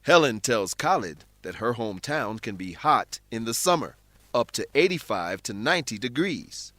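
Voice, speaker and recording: A male English teacher reads a scripted lesson. He enunciates carefully and instructively, with very lively intonation and a medium pitch. The recording is dry and close. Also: normal speed, dry recording. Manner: didactic, clearly enunciated. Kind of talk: scripted educational narration.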